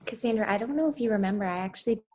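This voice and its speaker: A woman's voice: mid-range voice, rapid-fire, darkish tone, slight background noise. A young woman casually introducing herself as a workshop participant on a video call from a room at home. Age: young adult.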